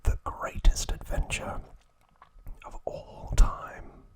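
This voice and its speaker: Male ASMR host. Unvoiced, breathy whisper throughout, very unhurried phrasing with pauses, close on the mic. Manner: slow, hushed, deliberate phrasing with pauses. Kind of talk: ASMR, whispered trivia and show-and-tell.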